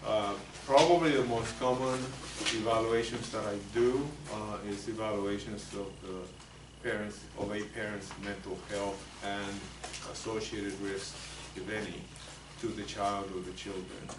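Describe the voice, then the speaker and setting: A man in his sixties, a native Spanish speaker with a Spanish accent, lecturing lawyers as a psychologist in a soft, hesitant way with many fillers. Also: fairly low pitch, fairly even intonation, a little slow, hardly any pauses. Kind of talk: continuing-education lecture. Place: meeting room. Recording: echoey room, mic far off, noisy.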